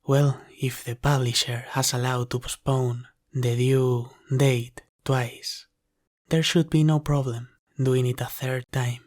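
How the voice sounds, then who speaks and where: A devoted dragon butler, acted by a man, attends in a soft ASMR roleplay. His speech is very slow and unhurried, with a level, restrained melody at a medium male pitch. He is courteous and formal, always gentle and deferential. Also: lightly acted. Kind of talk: ASMR roleplay, soft scripted character acting.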